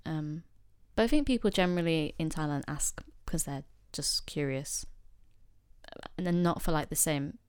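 A young woman from England, talking casually and wryly with many pauses and fillers. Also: low-pitched, clear, resonant tone, dry recording, studio quality. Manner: reflective, wry, lots of fillers and quoted voices. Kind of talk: casual conversation.

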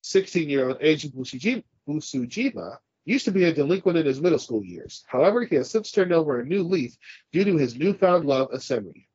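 A man's voice: on the high side, animated, fairly quick, darkish tone, breathy edge, no room sound, dull audio. Congested man chatting about anime. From California. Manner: profane, rambling, laughs often. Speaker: podcast co-host. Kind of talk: casual chat.

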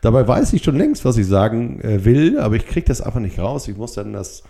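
A middle-aged or older man hosting a solo podcast, speaking reflectively and haltingly, with short blocks and pauses from a stutter. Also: mid-range voice, very animated, vocal fry, mellow. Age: 50s or older. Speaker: podcast host.

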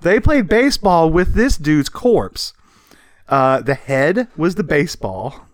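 An animated male podcast co-host tells stories in a casual, comedic style, swearing freely. His pitch swings widely as he goes, and the sound is dry and close, with very little room around it. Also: takes it slowly, no room sound. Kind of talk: casual comedic history chat from notes.

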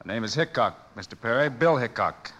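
A man playing a steady, self-assured Old West marshal in a 1950s radio drama, cool and matter-of-fact, with an American accent. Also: medium pitch, brisk, highs cut off, natural voice. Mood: cool, confiding. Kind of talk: 1950s radio western acting. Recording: noisy old radio recording.

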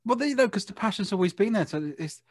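A man's voice: high voice, lively intonation, fast talker, no room sound. A British man hosting a casual music interview, chatting in an encouraging, affirming way. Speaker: interviewer, music show host.

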